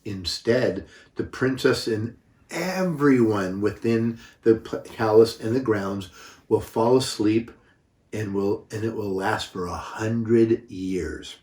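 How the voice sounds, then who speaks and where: Slow, kindly storybook fairy, read by a man. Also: on the low side, a little mellow. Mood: soothing. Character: gentle, kindly female fairy who comforts. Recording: roomy, echoing, distant microphone.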